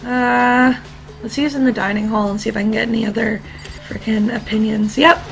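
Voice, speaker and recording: A woman with a slightly hoarse, fading voice, giving casual, irreverent commentary while playing a game as host. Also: a little high, fairly even intonation, a little fast, soft background music, low-quality recording. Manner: casual and irreverent, with mild swearing. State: losing her voice, slightly hoarse. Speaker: gaming video host. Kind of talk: let's play commentary.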